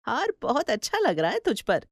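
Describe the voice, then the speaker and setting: Woman as brisk, chatty middle-aged auntie. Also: a little high, huge pitch swings, no echo, studio quality, voice pushed up, full character voice. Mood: chatty, admiring. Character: Janaki, chatty, busy middle-aged aunt. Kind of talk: Hindi cartoon story acting.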